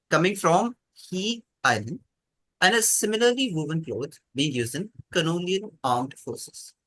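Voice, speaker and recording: Quiz master, male, lively range, husky edge, reads questions aloud. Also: a little high, no room sound, low-quality recording. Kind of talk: reading quiz questions on an online quiz.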